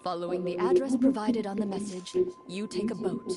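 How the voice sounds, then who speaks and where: A woman voicing a cool, composed young woman who runs a game in a video game, speaking as a calm, measured storyteller. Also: medium pitch, over a noisy crowd, natural voice. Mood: narrating. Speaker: game master. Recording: game audio with faint music behind.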